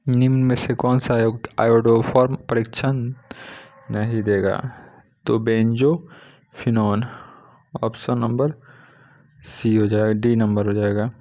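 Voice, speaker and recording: A man's voice: medium pitch, steady tone, takes it slowly, long pauses, mellow. A man working as an exam coaching teacher, methodically reading practice questions aloud and then stating each answer.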